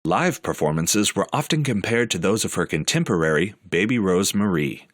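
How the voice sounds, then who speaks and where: A man reading scripted documentary narration in a measured, informative way. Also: deep voice, animated, no echo.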